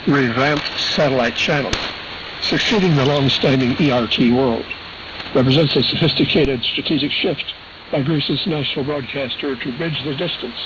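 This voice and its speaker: A man's voice: mid-range voice, dull audio. A man hosting a radio program, reading a scripted shortwave news bulletin in a matter-of-fact way. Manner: matter-of-fact, spells out letters and frequencies. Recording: hissy, band-limited AM shortwave broadcast.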